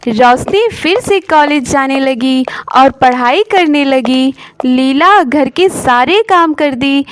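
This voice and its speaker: A woman reads a scripted story as narrator. Her voice is high and her pace slow, in a very dry recording. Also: no echo, poor audio.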